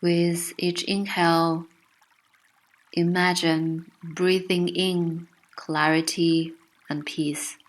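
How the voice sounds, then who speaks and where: A woman narrating a guided meditation with gentle, soothing, unhurried instruction. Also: lowish voice, little pitch movement, rough recording.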